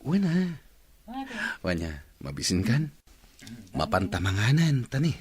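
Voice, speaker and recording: A man playing a smooth-talking suitor in an Ilocano radio drama, with an Ilocano accent. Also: lowish voice, big pitch swings, unhurried. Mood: flirtatious.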